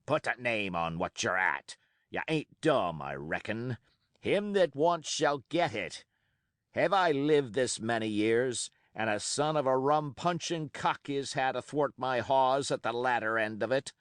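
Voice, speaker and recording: A gruff, crafty old pirate cook, as a male storyteller puts him on, low and commanding, with a slow, menacing drawl. His delivery rises and falls with threat, and the sound is close and dry. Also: animated, no echo, close to an everyday voice. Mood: defiant.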